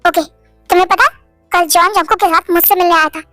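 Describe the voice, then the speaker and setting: A man's voice: extremely high pitch, steady tone, fast talker, bright, forceful tone, soft background music. A narrator voicing an earnest, lovestruck male boss who makes heartfelt pleading declarations. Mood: firm. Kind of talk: storybook drama reading.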